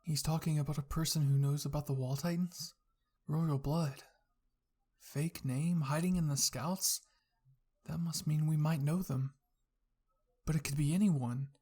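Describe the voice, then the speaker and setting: A narrator reading a scripted fan fiction story in a husky, creaky voice, in the style of ASMR roleplay. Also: medium pitch, steady tone, measured pace, long pauses, warm tone.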